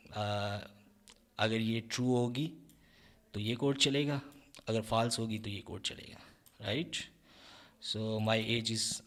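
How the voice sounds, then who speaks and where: A young man explaining programming step by step in a relaxed screen-recorded lesson, often checking in with listeners. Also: lowish voice. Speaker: programming tutor.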